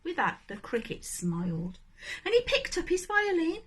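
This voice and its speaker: A woman reading a children's story aloud in an animated, deliberate manner, addressing young listeners. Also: very high-pitched, slight background noise. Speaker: storybook reader.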